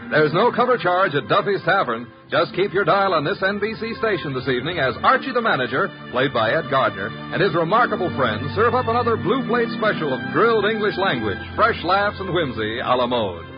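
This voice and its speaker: A man's voice: a little high, sing-song, fairly quick, few pauses, over music. A man with an American accent announcing in polished, theatrical 1950s network radio style, on a muffled broadcast recording.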